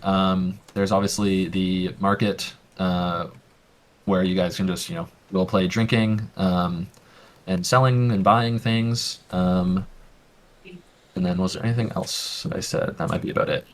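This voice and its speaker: Deep-voiced man running a tabletop game. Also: fairly even intonation, a little slow. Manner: casual, explains rules with many fillers. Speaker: game master.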